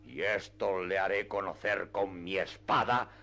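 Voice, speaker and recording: An actor playing a proud, indignant knight-errant in a radio play, declaiming in grandiloquent archaic Spanish. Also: male voice, sits low, steady tone, unhurried, sharp tone, heavily acted. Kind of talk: classic radio theatre acting.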